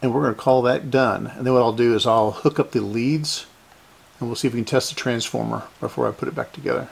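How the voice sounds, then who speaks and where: A man gives a hands-on repair demonstration, explaining informally and methodically step by step in a creaky voice. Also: medium pitch, fairly even intonation, fast talker, a little hiss or hum. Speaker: host of a radio restoration series.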